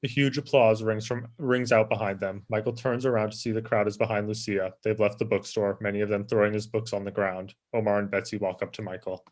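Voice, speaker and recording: A man's voice: on the low side, fairly even intonation, fairly quick, hardly any pauses. A man reading stage directions as scripted narration.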